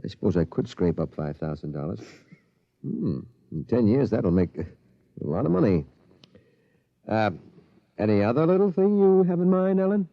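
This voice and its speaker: A man's voice: on the low side, sing-song, normal speed, vocal fry, pauses often, no echo. An actor playing a cautious middle-aged father in 1950s radio drama, hesitant and incredulous. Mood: doubtful, wondering. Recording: dull vintage radio recording.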